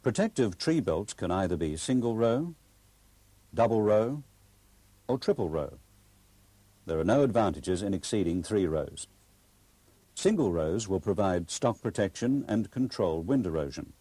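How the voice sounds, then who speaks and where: A male narrator reads an instructional script formally and carefully. His low, husky voice moves expressively, in a dry, close recording. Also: sing-song, dark tone, no room sound. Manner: formal, measured explanation.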